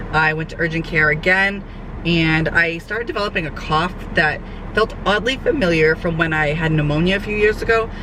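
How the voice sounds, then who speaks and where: A young woman, a fitness trainer, chats casually in a vlog update, her voice hoarse and nasal from a cold. She rambles with lots of fillers. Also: sits low. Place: sitting outdoors, traffic nearby. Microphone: camera mic. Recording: outdoor camera audio with traffic noise.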